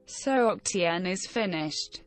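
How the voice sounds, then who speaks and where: A woman's voice: lowish voice, lively intonation, takes it slowly. A woman playing a terse, determined hero in a fantasy game, blunt and resolute in her delivery. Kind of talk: scripted fantasy game dialogue. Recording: reverberant hall, music behind.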